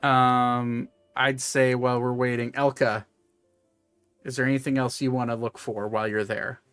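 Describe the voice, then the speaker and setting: A man running a tabletop game as game master, narrating in a halting, conversational way and often correcting himself. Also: mid-range voice, little pitch movement, fairly bright tone, smooth, no echo, soft background music.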